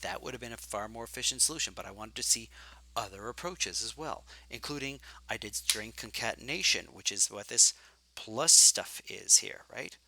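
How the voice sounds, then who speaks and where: Gravelly, bright-toned man lecturing on code. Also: mid-range voice, moderate pace, no room sound, low-quality recording. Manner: thinking aloud, self-correcting. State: getting hoarse. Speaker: programming lecturer. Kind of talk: live coding lecture.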